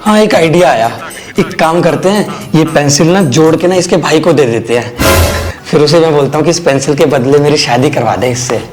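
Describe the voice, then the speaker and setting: A man playing a scheming, excitable young friend in a comedy skit, chiding and pushing his pal along. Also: on the high side, fairly quick, music in the background, lightly acted. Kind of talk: exaggerated comedy skit dialogue.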